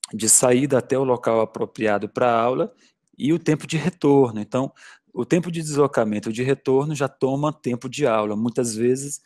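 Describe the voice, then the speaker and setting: A man's voice: mid-range voice, a little mellow. Man hosting an explanatory webinar. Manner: explanatory, with fillers like "é" and "né". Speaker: traffic-safety webinar host. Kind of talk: instructional talk.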